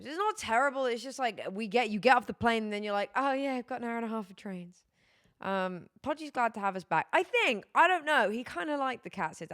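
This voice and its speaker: A tired young woman streaming, chatting casually with her chat, her voice going hoarse. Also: mid-range voice, a bit bright. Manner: rambling, chatty, many fillers.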